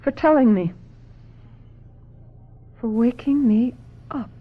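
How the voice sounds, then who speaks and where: Fragile young woman, earnest and emotive, unhurried delivery, muffled old radio play sound. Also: on the high side. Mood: grateful. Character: fragile, intense young woman recovering from mental breakdown. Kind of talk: radio drama acting. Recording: dull vintage radio, faint music.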